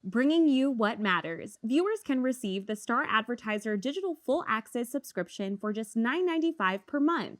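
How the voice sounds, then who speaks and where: A woman reads the ad copy in a crisp, upbeat voice. It sits fairly high, and the sound is close and dry, with no room around it. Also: expressive, moderate pace, few pauses, no echo. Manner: crisp, upbeat promotional delivery. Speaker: ad announcer. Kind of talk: scripted commercial read.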